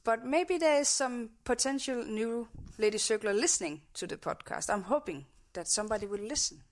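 A woman's voice: a little high, animated, normal speed. A woman with a Danish accent, a native Danish speaker, hosting a podcast interview in a chatty way, laughing often and restarting sentences. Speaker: podcast host. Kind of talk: casual interview chat.

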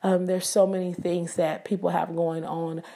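A woman with a raspy voice hosting a casual devotional podcast, talking earnestly and prayerfully to her listeners. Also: lowish voice, level tone, normal speed, mellow. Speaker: podcast host. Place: living abroad, indoors.

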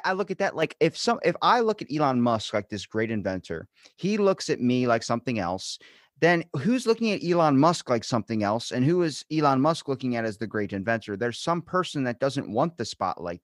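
A man with a Baltimore accent rambles through a casual podcast chat, animated and swearing freely. His voice sits at an ordinary middle pitch. The sound is dry and close. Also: dry recording.

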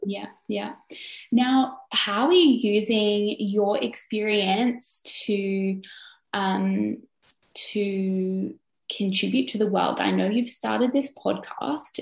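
A woman interviewing a guest, warm and hesitant, with many pauses and ums. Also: mid-range voice, creaky, echoey room, rough recording. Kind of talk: gentle interview questions.

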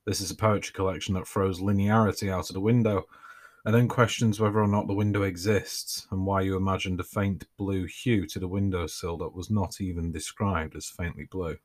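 A man hosting his own podcast, reading his writing aloud in a relaxed way with dry, self-mocking humour. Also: low voice, a little fast. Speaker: podcast host and author.

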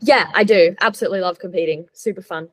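A woman's voice: fairly low pitch, fast, fairly bright tone. A young woman from Switzerland and Australia answering interview questions in a casual, upbeat, explanatory way as a podcast guest. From born in Switzerland, moved to Australia as a child. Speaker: podcast guest, sports nutritionist.

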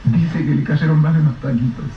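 A woman's voice: low voice, moderate pace, a little hiss or hum, lightly acted. A woman playing a playful young working man reassuring his mother in a radio drama, with colloquial Mexican phrasing. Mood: teasing. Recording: roomy, dull, distant mic.

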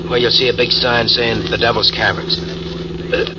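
A battered, weakened ex-con who answers in quiet, terse, guarded words in an old radio crime drama, as a man plays him. He sounds distant and pained. A loud hiss runs under the dull old recording. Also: mid-range voice, little pitch movement, normal speed, lightly acted. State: weak and in pain. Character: battered male ex-con Steve, weak, cunning. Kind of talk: 1940s radio acting.

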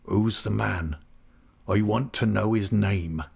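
Male narrator as older seafaring man, gravelly, fiercely devoted, blunt, storybook drama. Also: fairly low pitch, slow pace, darkish tone, heavily acted. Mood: grim, demanding. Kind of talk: dramatised audiobook character.

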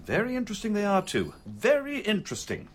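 An actor playing a dim, amiable, pompous middle-aged English police inspector, hesitant and blustering, in a radio comedy style. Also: male voice, high voice, huge pitch swings, fast talker, slight background noise. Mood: earnest, pleased. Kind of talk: British radio comedy acting.

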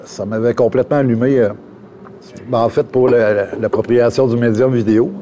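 A man with a Quebec French accent in casual chat, speaking colloquially and a little haltingly. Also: medium pitch, steady tone, warm tone, slight background noise. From Matane, Quebec. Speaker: video artist and news cameraman.

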